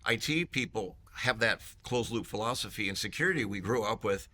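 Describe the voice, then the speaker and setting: A man's voice: lowish voice, lively intonation, a little fast, bright, forceful tone. A man in marketing, talking up his company in a playful, salesy, joking way during a conference interview. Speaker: vendor marketing executive.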